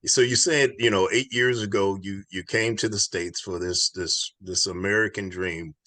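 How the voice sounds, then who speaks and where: A man's voice: sits low, steady tone, normal speed, pushed and bright, no room sound. A man hosting an inspirational podcast, speaking in an earnest, encouraging, preacher-like way. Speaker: podcast host.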